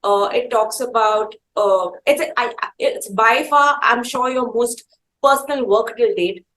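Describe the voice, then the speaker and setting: A woman's voice: on the high side, measured pace, fairly bright tone, slight background noise, rough recording. A woman with an Indian accent hosting an interview in an enthusiastic, effusive, conversational way. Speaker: interviewer and show host.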